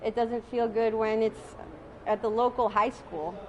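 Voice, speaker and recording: A tired woman attorney giving a measured statement at a press conference. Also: on the high side, fairly even intonation, a little hiss or hum, rough recording. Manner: measured, deliberate.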